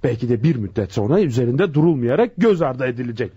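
Husky, mellow, passionate police detective. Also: male voice, mid-range voice, lively intonation, fast talker, dry recording, muffled recording. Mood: bitter indignation. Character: indignant, passionate male police detective, junior partner. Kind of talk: Turkish radio drama acting.

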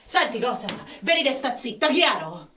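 Shrill, bossy Roman busybody. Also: female voice, big pitch swings, normal speed, bright, forceful tone, far from the mic, slight background noise. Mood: scolding, indignant. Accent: Roman Italian. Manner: shrill, scolding, hectoring. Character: bossy, foul-mouthed, snobbish woman caretaker of a condominium. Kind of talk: tragicomic radio play acting. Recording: roomy, echoing radio drama recording.

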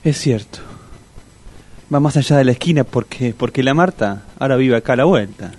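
A man's voice: medium pitch, lively intonation, fairly quick, darkish tone, lightly acted. An actor playing a stubborn, lonely man in his sixties with a Rioplatense Argentine accent, insistent and plaintive, in a comic radio drama. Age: 60s. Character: Latorca, stubborn, lonely older man eager to meet women.

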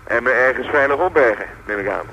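A man's voice: mid-range voice, expressive, fast, pushed and bright, lo-fi sound, slight background noise. An actor playing a defiant, distrustful young man on the run, mocking as he speaks on a call in a radio drama. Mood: mocking, wary. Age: young adult. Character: wary, defiant young male fugitive on the phone.

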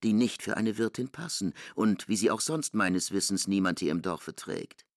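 A man's voice: fairly low pitch, moderate pace, creaky, no room sound, studio quality. A man reading scripted literary narration, dialogue included, in a radio drama.

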